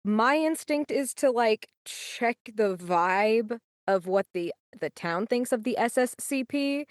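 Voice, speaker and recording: A woman's voice: on the high side, lively intonation, slow, no room sound, clean studio sound. A woman chatting casually as a player in a tabletop roleplaying game, with informal, tentative phrasing. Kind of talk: casual chat during a game.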